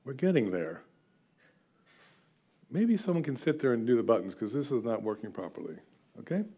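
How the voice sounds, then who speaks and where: A man's voice: medium pitch, big pitch swings, moderate pace, warm tone, husky. A man in his sixties giving an informal, chatty seminary lecture from a lectern, self-deprecating and full of filler sounds. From United States, half Guatemalan. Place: lecture room with audience. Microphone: far camera, standing at lectern.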